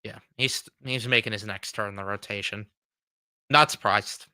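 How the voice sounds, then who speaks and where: A man's voice: on the low side, steady tone, normal speed, bright, forceful tone, smooth, dry recording. A man in his twenties hosting a sports fan show, giving blunt, frustrated, swearing commentary while fighting a cold. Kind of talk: casual reaction commentary.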